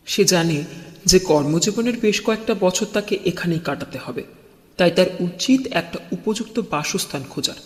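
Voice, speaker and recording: A man narrating a scripted horror story in a suspenseful, expressive, dramatic style. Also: high-pitched. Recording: long cavernous reverb.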